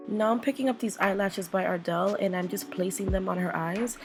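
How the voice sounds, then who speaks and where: A woman's voice: mid-range voice, brisk. A young woman with a voice worn down by a cold, chatting her way through a makeup tutorial as a voice-over. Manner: chatty, playful, narrating each step. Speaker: makeup artist. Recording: voice-over with loud background music. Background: music.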